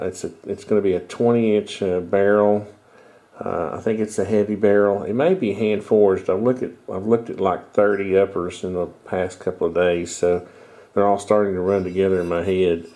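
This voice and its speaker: A man's voice: deep voice, moderate pace, mellow. A middle-aged man with a stuffy, congested voice, casually showing off a hobby project in a rambling, self-correcting way, recorded on a headset mic in a small room. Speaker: video host. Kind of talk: casual show-and-tell talk.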